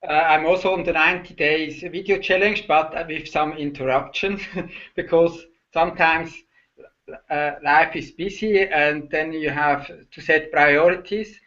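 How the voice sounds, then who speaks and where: A man's voice: fairly high pitch, fairly even intonation, fairly bright tone, muffled recording. A middle-aged man from Switzerland, a Swiss German native speaker with a Swiss German accent, talking hesitantly on a video call as a guest. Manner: hesitant, halting, many uh fillers. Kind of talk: casual video call chat.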